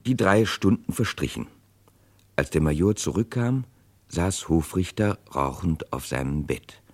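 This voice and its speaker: Very deep-voiced male narrator. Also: animated. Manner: measured, sober. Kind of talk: scripted radio crime drama narration.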